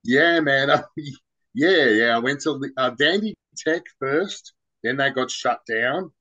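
A man's voice: medium pitch, takes it slowly, bright, forceful tone. Aussie bloke yarning casually, lots of bro and man, kinda muffled audio. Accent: Australian. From Australia. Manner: rambling, loose and informal, lots of "man" and "bro". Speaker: podcast guest. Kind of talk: casual interview talk.

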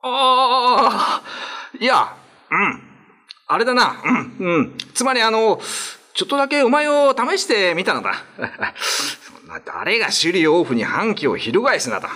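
A man's voice: high-pitched, big pitch swings, a little slow, sharp tone, pitched above the performer's own voice, full character voice. A man playing a greedy, foolish, ambitious island lord in a radio drama, with pompous, old-fashioned lordly speech. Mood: flustered, evasive.

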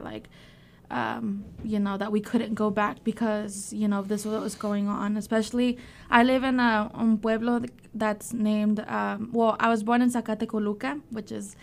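A woman's voice: mid-range voice, little pitch movement, normal speed, vocal fry, slight background noise. A young woman in her twenties from El Salvador, a podcast guest telling long personal stories in a chatty way. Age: 20s. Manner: chatty storyteller with many fillers. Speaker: guest, community organization worker. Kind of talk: conversational interview.

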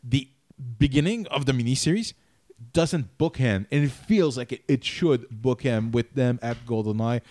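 A man co-hosting a podcast who talks in a halting way with many restarts while discussing a miniseries. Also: medium pitch, a little mellow, no room sound, studio quality. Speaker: podcast co-host. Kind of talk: casual review chat.

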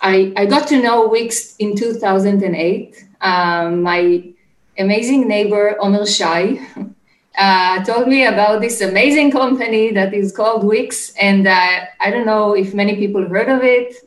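A middle-aged woman with an Israeli accent, answering interview questions conversationally in a halting, searching way. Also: mid-range voice, reverberant, rough recording. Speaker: interview guest, startup executive. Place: home office, remote call.

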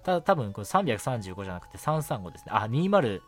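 Male radio host, medium pitch, lively intonation, frequent fillers and self-corrections, background music. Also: fast talker. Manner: filler-heavy, stumbling over words, self-correcting. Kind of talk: solo radio show segment, reading listener mail and chatting.

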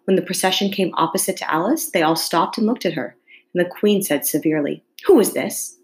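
Lower-pitched woman reading a storybook aloud. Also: fast talker. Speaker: teacher reading aloud to students.

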